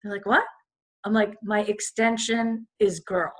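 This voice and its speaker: A raspy-voiced woman in her fifties, a podcast guest chatting and rambling with lots of fillers. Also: medium pitch, level tone, a little slow. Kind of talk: casual chat.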